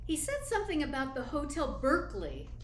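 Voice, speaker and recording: A woman's voice: high-pitched, wildly swooping pitch, brisk. A woman playing a detective's efficient, matter-of-fact secretary in a radio play. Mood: efficient, composed. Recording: roomy echo, faint noise.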